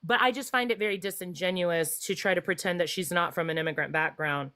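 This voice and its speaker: A woman's voice: on the low side, fast talker, bright, forceful tone. A woman caller in a heated call-in debate, cutting and sarcastic. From Texas.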